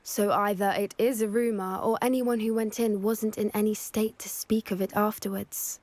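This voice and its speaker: A woman's voice: mid-range voice, steady tone, moderate pace, a little mellow, close to an everyday voice. A woman playing a curious, bookish, adventurous teenage witch in a video game, articulate and wry. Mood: thoughtful, wry. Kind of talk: video game companion dialogue.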